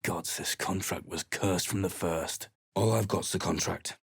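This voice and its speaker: An actor playing a bitter, gruff male human adventurer who has lost his crew, speaking with a surly, coarse, cursing manner. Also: low voice, fairly even intonation, unhurried, dry recording, exaggerated voice. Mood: grim, surly. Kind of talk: video game voice acting. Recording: dry, close game studio recording.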